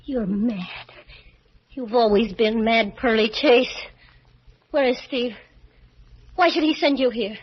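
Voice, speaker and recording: An anxious woman in an old radio thriller. Her high voice is husky and breathless, halting with fear and sharp when cornered. The recording is muffled and dated. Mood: angry, alarmed.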